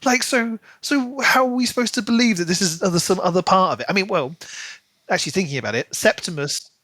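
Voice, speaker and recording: A man with a fairly high, very bright voice chats casually as a podcast co-host. He retells things in an animated way, slipping into imagined dialogue, and the sound is close and dry. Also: quick pace, dry recording. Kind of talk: casual book discussion chat.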